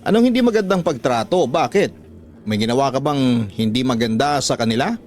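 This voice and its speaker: A man's voice: medium pitch, animated, brisk, a little mellow, slight background noise, lightly acted. A man with a Filipino accent voicing a worried, hesitant mother from the province in a radio drama. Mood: concerned. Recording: background music under the voice.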